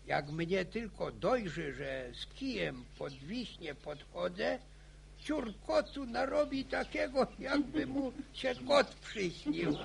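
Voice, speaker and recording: An old village farmer in a radio serial. The voice is gravelly and fairly high, with slow delivery. He rambles warmly and grumbles, stumbling over and repeating words. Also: sing-song, a little hiss or hum, exaggerated voice. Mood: rambling, folksy. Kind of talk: radio drama acting.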